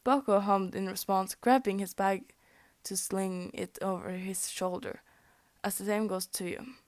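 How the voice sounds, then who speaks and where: Norwegian-speaking young woman reading a fanfic out loud, trips on words a lot, giggles at herself, dry close sound. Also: medium pitch, fairly even intonation, measured pace, dry recording. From Norway. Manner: stumbles over words and laughs at her own slips. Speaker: fanfic reader. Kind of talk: reading fan fiction aloud.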